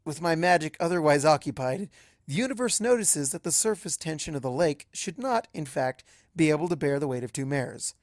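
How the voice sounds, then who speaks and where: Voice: medium pitch, expressive, fairly quick, dry recording, studio quality. A narrator reading a first-person story aloud in a narrative storytelling style. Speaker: fan fiction narrator.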